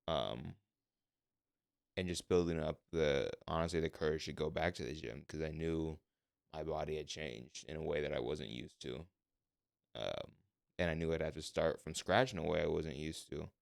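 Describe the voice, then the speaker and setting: Deep gravelly young man, casual podcast chat. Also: moderate pace, dry recording, studio quality. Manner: says his voice is monotone but jumps around when passionate. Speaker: podcast co-host.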